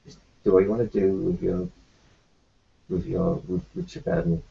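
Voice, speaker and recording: Raspy, gravelly man, low pitch, halting reassurance, recorded lo-fi. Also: sing-song. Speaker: player in a tabletop roleplay. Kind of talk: in-character roleplay conversation. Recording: lo-fi muffled recording.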